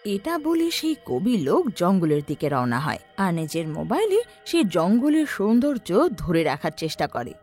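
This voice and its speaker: A woman's voice: fairly low pitch, sing-song, moderate pace, hardly any pauses, darkish tone. A woman narrating a scripted horror story in a dramatic storytelling style. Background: background music.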